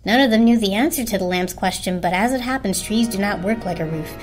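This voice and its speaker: Female storyteller, medium pitch, dramatic scripted narration, faint music underneath. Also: quick pace.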